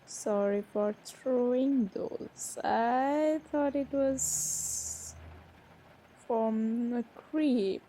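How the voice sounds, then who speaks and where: A woman performs a shy, easily flustered young woman in an intimate romantic audio drama. Her voice sits a little high and is soft-edged and mellow. She speaks very slowly, stumbling and repeating words, over audible ambient noise. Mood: tender, wondering. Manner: hesitant, stammering, breaking off and repeating words.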